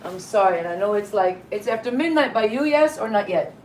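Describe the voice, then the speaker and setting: A woman's voice: medium pitch, animated, brisk, reverberant, a little hiss or hum, low-quality recording. A woman hosting a casual live-stream interview, chatty and rambling, apologizing and laughing often. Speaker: host. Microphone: live-stream video call.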